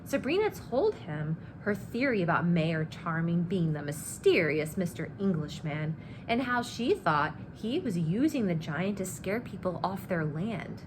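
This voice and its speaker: A woman reading a children's novel aloud in an animated, expressive storytelling manner. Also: fairly low pitch, measured pace. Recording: clear background noise.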